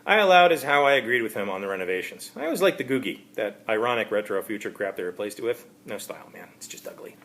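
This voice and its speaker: A man giving an amateur reading of a first-person story, narrating in a halting, wry way. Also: fairly low pitch, animated, fairly bright tone, slight background noise. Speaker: author reading his own story.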